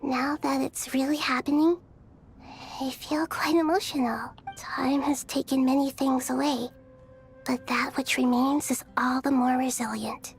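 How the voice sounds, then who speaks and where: Gentle childlike goddess, high voice. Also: female voice, steady tone. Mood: grateful, tender. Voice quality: soft, youthful. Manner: formal, measured, earnest. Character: gentle, wise, childlike female deity. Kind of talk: video game dialogue. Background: background music.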